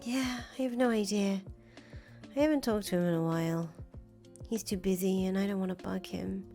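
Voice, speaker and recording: A woman's voice: medium pitch, moderate pace, warm tone, natural voice. A woman voicing an anxious, disillusioned young fangirl in a visual novel, hesitant, earnest and self-deprecating. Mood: self-deprecating, uneasy. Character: anxious, disillusioned young fangirl, soft-hearted conscience of a revolutionary. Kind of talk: visual novel dialogue reading. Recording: music behind the voice. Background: music.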